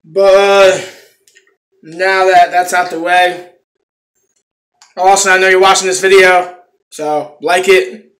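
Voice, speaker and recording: A man's voice: high voice, level tone, slow pace, pauses often, a bit bright, roomy sound. A young man casually reviewing a product for his viewers from a small room at home, swearing often in a laid-back way. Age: young adult. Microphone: phone camera close up.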